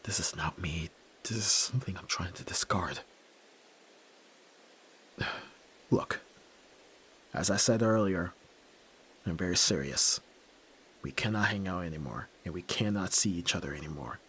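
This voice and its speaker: A man's voice: deep voice, unhurried, long pauses, fairly bright tone, slightly rough, heavily acted. A young man playing a brusque, tormented nerd who is a reluctant yakuza heir, in a dramatic audio roleplay, speaking huskily and curtly. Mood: stern, conflicted. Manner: brooding, curt, pushing the listener away.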